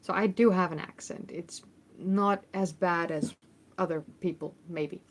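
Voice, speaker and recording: A woman's voice: on the low side, steady tone, unhurried. A woman in her thirties with a Dutch accent, hosting a casual livestream Q&A and reading chat questions aloud, chatty with many ums and restarts. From Netherlands. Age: 30s. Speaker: history YouTuber and host. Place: temporary room in a family home. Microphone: phone microphone.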